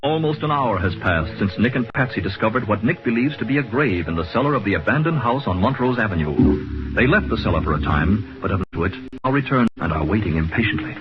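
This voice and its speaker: A man's voice: on the low side, lively intonation, quick pace. A man narrating and announcing a 1940s radio program with dramatic, scripted delivery. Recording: muffled old radio recording, music behind. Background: music.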